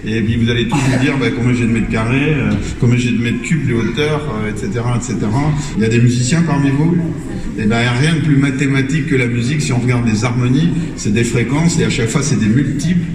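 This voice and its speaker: A man's voice: medium pitch, fast talker. A man giving an enthusiastic informal public speech to children and parents, putting questions to his listeners. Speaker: speaker presenting a school maths exhibition. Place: large reverberant indoor public space. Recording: echoey hall, distant, clearly noisy.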